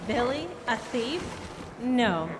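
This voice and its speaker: Woman playing gentle, high-voiced widow. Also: animated, slow pace. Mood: shocked. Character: gentle, obliging young widow, shocked by news. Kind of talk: old-time radio adventure acting. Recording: echoey hall, clear background noise.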